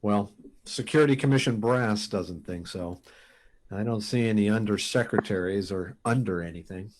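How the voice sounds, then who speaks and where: A man's voice: fairly low pitch, moderate pace, close to an everyday voice. A man playing a cocky, wisecracking rocket test pilot in a 1950s radio drama style. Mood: breezy, confident. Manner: breezy, wry.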